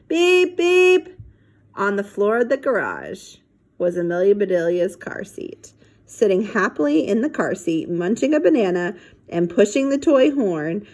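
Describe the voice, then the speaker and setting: A woman reading a children's book aloud expressively, acting out dialogue and chatting casually to young listeners between passages. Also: medium pitch. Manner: animated, acts out dialogue and sound effects.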